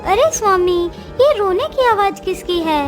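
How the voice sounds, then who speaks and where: A woman's voice: very high-pitched, lively intonation, a little slow, pitched above the performer's own voice. A woman voicing a concerned young princess bride in a cartoon. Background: faint background music.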